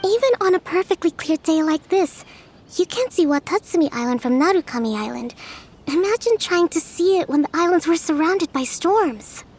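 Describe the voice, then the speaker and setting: A woman playing a gentle, thoughtful young priestess and strategist in a video game, speaking in a composed, measured, formal way. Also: very high-pitched, animated, slight background noise. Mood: wistful. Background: music.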